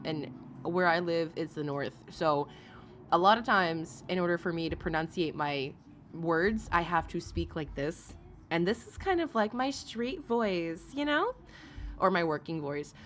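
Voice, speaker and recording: A woman's voice: lowish voice, fairly bright tone, a little hiss or hum. A woman commenting casually to her live chat, rambling and laughing often, with asides to viewers. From Alaska. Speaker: commentary streamer.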